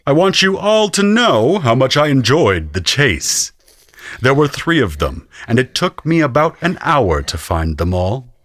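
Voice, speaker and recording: A man's voice: mid-range voice, sing-song, unhurried, fairly bright tone, dry recording, clean studio sound, pitched above the performer's own voice. A man voicing a sadistic, gloating military hunter who taunts with theatrical contempt. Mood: gloating menace.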